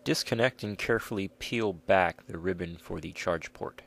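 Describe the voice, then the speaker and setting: A man's voice: low voice, normal speed, gravelly, fairly bright tone. A man reads scripted step-by-step instructions as the narrator of a repair tutorial.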